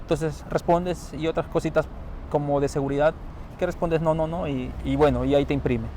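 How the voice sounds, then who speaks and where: Interviewee, male, Ecuadorian accent, calm and explanatory, fairly high voice, outdoors with faint traffic. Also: a little fast. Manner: calm, explanatory, often trails off softly. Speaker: interviewee, newly arrived asylum seeker. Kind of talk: casual interview answers. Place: outdoors on a city street. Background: faint traffic noise.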